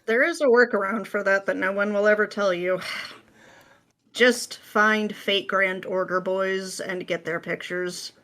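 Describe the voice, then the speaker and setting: Podcast guest, female, mid-pitched voice, informal and matter-of-fact, explains in a conversational style. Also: fairly even intonation, takes it slowly, a bit bright. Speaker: interview guest, ASMR roleplay script writer. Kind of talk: casual explanatory chat.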